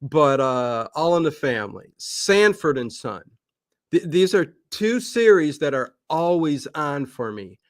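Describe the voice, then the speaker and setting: Middle aged guy just chatting, kinda high voice, emphatic, repeats himself a lot. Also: very animated, unhurried. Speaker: interview guest. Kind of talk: casual chat.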